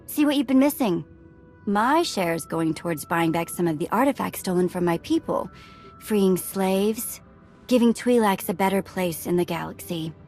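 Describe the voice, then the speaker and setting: A woman's voice: medium pitch, animated, normal speed, darkish tone, soft background music, lightly acted. An actress playing a spirited, principled young alien woman with a wry manner in a game cutscene. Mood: earnest reproach. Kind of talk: video game cutscene acting.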